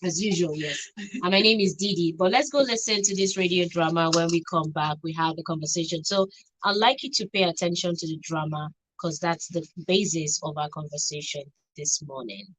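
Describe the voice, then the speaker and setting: A woman's voice: lowish voice, a little fast, fairly bright tone, no echo, rough recording. A woman with a Nigerian accent hosting a radio show from a studio. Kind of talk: radio presenting.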